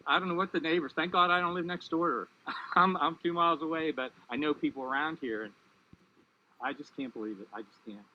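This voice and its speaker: A man's voice: on the high side, fairly quick, pushed and bright. A man giving an unscripted street interview as a local resident, in slightly muffled sound.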